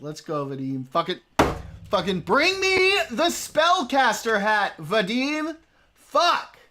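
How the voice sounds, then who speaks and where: A man's voice: medium pitch, pushed and bright, slight background noise. A young adult man streaming, talking in a loose, joking, profane way while reacting to a debate. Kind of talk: casual livestream commentary.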